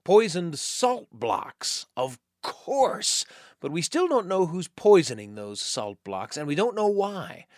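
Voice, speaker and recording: A man hosting a story program, enthusiastic and playful. His pitch is animated and a little high. The sound is dry and close. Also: takes it slowly, dry recording. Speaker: host. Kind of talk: radio show narration.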